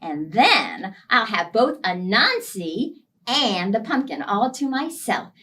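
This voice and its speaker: Woman playing scheming male monkey, storybook tale, confiding, unhurried, lively intonation, slightly distant. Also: mid-range voice, exaggerated voice. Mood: sly, confiding.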